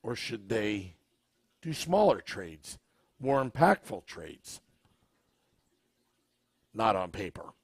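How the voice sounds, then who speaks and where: A man's voice: on the low side, vocal fry, slightly rough, no echo, clean studio sound. A man hosting a live-streamed podcast, talking with a scratchy, worn voice, chatting and reading viewer comments. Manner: relaxed, unhurried, asks the audience questions. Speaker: podcast host.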